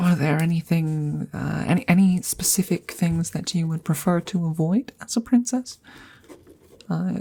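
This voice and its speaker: A woman plays a courteous, awkward she-dragon in an intimate ASMR roleplay. Her voice is lowish and mellow with a gravelly creak, often thinning to a whisper. She picks her words haltingly and formally, shy and smitten. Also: slight background noise. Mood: gentle, concerned. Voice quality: husky, breathy, often slipping into whispers. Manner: hesitant, halting, formal and polite.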